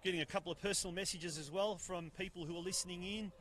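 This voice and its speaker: A man giving live football commentary outdoors at a ground, booming and excitable, holding a handheld mic at arm's length. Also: quick pace, slight background noise. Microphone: handheld mic held at arm's length.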